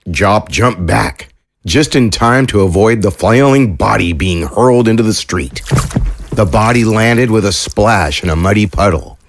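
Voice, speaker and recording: A man's voice: lowish voice, lively intonation, measured pace, dry recording. A man hosting and narrating a scripted fiction podcast.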